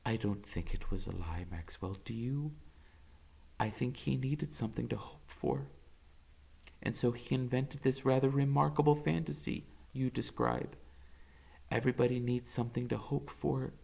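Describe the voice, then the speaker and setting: A man voicing a hushed gentle woman doctor. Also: medium pitch, moderate pace, gravelly, slightly rough. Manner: soft, hushed, half whispered.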